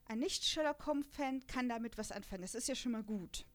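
A young woman hosting a casual chat podcast, talking in a lively, bubbly way and laughing often. Her voice sits a little high. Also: fairly quick. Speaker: podcast host.

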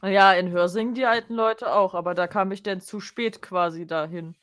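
A woman with a medium-pitched voice speaks as a podcast co-host. Her style is casual conversation, delivered in a dry, matter-of-fact manner. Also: steady tone, moderate pace, fairly bright tone. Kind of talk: casual chat about an audio drama.

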